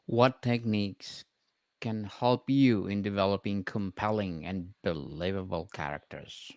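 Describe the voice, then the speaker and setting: A man's voice: on the low side, measured pace, no room sound. A man hosting a book-review podcast, asking formal prepared questions of his guest. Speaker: podcast host. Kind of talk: scripted interview questions.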